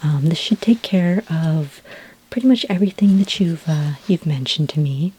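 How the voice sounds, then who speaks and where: A woman playing a calm, helpful sci-fi skin care consultant in a soft-spoken ASMR roleplay, gentle and reassuring, often close to a whisper. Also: low-pitched, normal speed, a little hiss or hum, lightly acted, poor audio. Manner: gentle, reassuring, hesitant and informal explaining.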